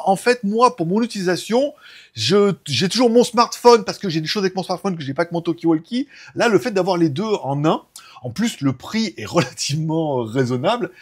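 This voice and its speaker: A man with a husky voice, chatting informally and ramblingly as host of a tech show. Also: sits high, expressive, brisk. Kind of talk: casual tech chat.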